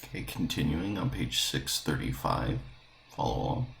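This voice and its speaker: A man's voice: a bass voice, very animated, normal speed, creaky, fairly bright tone. A man teaching a class, plainly explaining and telling students to follow along.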